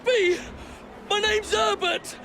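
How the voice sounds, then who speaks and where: Shrieking, hapless English lackey. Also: male voice, steady tone, slow, background noise, full character voice. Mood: panicked. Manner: shrill, pleading. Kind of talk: video game cutscene acting. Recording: very loud music behind the voice.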